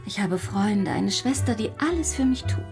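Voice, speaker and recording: An actress playing a dreamy young stage performer in a radio play, wistful and lilting. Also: female voice, medium pitch, animated, moderate pace, a little mellow, faint music behind, lightly acted. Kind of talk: German radio play acting.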